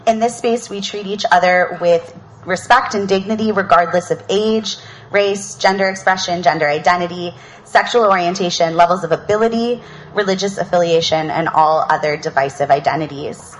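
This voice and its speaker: A woman hosting an outdoor panel, reading an opening statement in a measured, earnest way, then giving casual announcements. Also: lowish voice, a little hiss or hum, poor audio. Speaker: event host and panel moderator. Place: outdoor public event.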